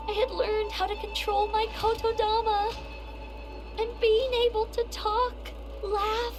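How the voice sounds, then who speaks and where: Very high, gentle mermaid girl. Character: gentle lonely mermaid girl, devoted and self-sacrificing. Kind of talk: English game voice acting, emotional monologue.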